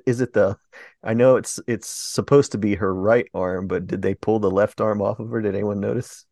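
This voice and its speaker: A man's voice: on the low side, fairly even intonation, normal speed, vocal fry, darkish tone, no room sound, clean studio sound. A man with a Southern American accent co-hosting a movie podcast, speaking hesitantly with many ums. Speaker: podcast co-host. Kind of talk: casual chat.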